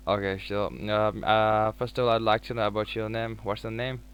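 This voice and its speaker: A man's voice: lowish voice, fairly even intonation, moderate pace, fairly bright tone, clean tone, muffled recording. A man in his twenties from Bangladesh, speaking on a video call and searching for words, with many fillers and restarts. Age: 20s. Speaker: English learner chatting with a stranger online. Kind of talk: casual video chat.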